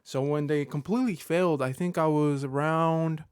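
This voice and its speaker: A man in his thirties giving a personal vlog update to his viewers, talking in a halting way with many fillers and restarts. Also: fairly high pitch, steady tone, smooth, dry recording, clean studio sound. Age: 30s. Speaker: Pokemon content creator.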